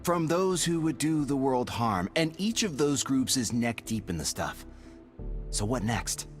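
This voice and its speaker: A man's voice: mid-range voice, very animated, measured pace. A man playing a dry, wisecracking intelligence officer of a tactical squad in a video game. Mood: serious. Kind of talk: scripted game dialogue. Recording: music behind the voice.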